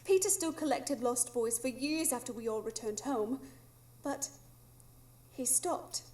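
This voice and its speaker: A woman's voice: fairly high pitch, measured pace, warm tone, natural voice. A woman playing a prim, precise English lady, proper and understated, in a live radio drama. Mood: wistful, explanatory.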